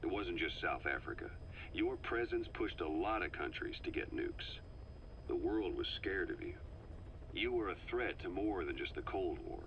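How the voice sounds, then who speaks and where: A man playing a businesslike middle-aged military comrade in a video game, gruff and matter-of-fact, on a dull tape recording. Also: low voice, lively intonation, moderate pace. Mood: grave, explanatory. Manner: matter-of-fact, conversational, terse. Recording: dull cassette-tape sound with hiss.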